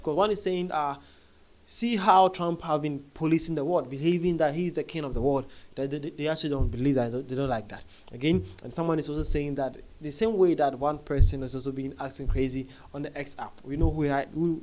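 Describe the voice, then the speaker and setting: A man with an African accent and a somewhat high-pitched voice presents casual news commentary. He addresses viewers directly in a conversational way, often doubling back to repeat phrases.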